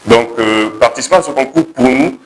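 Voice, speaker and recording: A young man with a Congolese accent pitching his project to a jury, hesitating and correcting himself as he goes. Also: moderate pace, a little hiss or hum, poor audio. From Republic of the Congo. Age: young adult. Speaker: contest candidate pitching a project.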